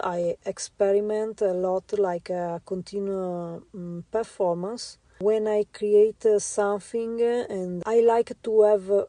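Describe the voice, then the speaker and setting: Woman, Italian accent, slow and halting, mellow tone, dry studio sound. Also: medium pitch, no echo. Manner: halting, many filler sounds. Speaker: interview guest, visual artist. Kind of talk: interview answers. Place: radio studio.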